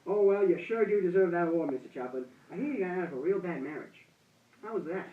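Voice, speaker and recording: A man's voice: sits high, animated, fast talker, narrow, tinny audio, a little hiss or hum. A young man playing a gushing, folksy host in an amateur 1920s radio broadcast. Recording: roomy, distant-miked amateur recording, thin sound.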